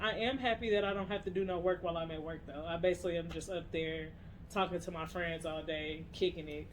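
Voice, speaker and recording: A woman's voice: on the low side, a little hiss or hum, low-quality recording. A young woman co-hosting a casual podcast with her wife, playful and slangy, laughing often. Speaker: podcast co-host. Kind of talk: casual chat.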